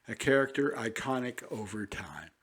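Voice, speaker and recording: Male radio presenter, average pitch, scripted programme introductions in a plain clean recording. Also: animated, normal speed, fairly bright tone, husky.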